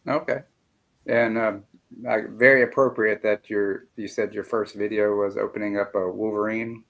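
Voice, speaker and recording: A man's voice: fairly low pitch, flat delivery, moderate pace, a bit bright. A man about to turn fifty, hosting a casual interview with friendly, joking asides. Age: 40s. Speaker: interview host.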